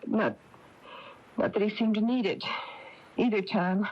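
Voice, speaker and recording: An actress playing an anxious, fretful small-town married woman in a 1980s radio drama, with halting, flustered delivery. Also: medium pitch. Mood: flustered, confiding. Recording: dull lo-fi AM radio tape.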